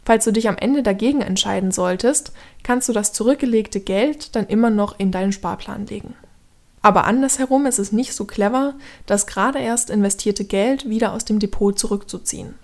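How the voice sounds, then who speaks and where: A woman's voice: medium pitch, a little fast, darkish tone. A woman aged thirty giving scripted explanatory narration as a podcast host, instructing the listener directly in a structured way.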